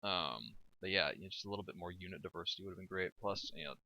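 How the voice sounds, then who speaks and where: A man casting a strategy game replay in a chatty, halting way, laughing often, through a home microphone. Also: deep voice, bright, forceful tone, no echo. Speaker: game caster. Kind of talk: casual strategy game commentary.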